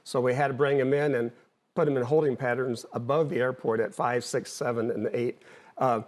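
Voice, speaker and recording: An older man speaking as an interview guest. His pitch is average for a man. He explains things in a plain, conversational way, halting now and then and repeating words as he goes. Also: fairly even intonation, a little mellow. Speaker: interview guest, former air traffic controller and pilot.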